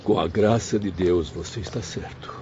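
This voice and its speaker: An actor with a Brazilian accent playing a remorseful, grieving professor spirit in a radio drama, pleading and lamenting theatrically. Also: male voice, low-pitched, normal speed, background noise, lightly acted. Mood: sorrowful, brooding. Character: grieving, remorseful male professor spirit longing for his mother. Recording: muffled radio drama over faint music.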